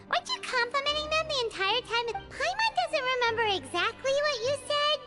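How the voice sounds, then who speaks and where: A woman voicing a tiny, excitable female fairy companion who talks about herself in the third person, in a video game. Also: extremely high pitch. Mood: curious. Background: game music.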